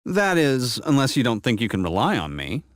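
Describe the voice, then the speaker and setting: An actor playing a brash, determined young warrior in a fantasy video game, with a blunt, casual, emotive way of talking. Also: male voice, mid-range voice, wildly swooping pitch, moderate pace, no echo, clean studio sound. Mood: hesitant, earnest. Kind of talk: video game cutscene voice acting.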